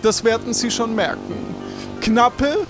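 A man voicing a haughty, pompous king who addresses common folk in a drawn-out, regal way, in an improvised adventure audio play. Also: very high-pitched, over music. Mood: commanding. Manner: pompous, drawn-out royal address in third person.

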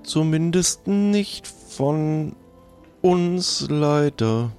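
A courteous, morbidly helpful undertaker, put on by a man speaks slowly and regretfully in a raised voice. He sounds like a shopkeeper in an improvised audio play. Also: on the high side, lively intonation, a little mellow, smooth, faint music behind, voice pushed up, heavily acted.

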